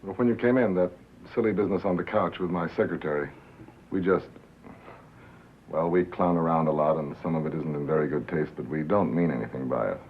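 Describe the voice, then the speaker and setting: An actor playing a wry, worldly, cynical radio reporter with a terse, dry delivery in a 1950s film drama. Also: male voice, a bass voice, fairly quick. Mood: businesslike, polite. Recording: narrow-band, hissy 1950s film soundtrack.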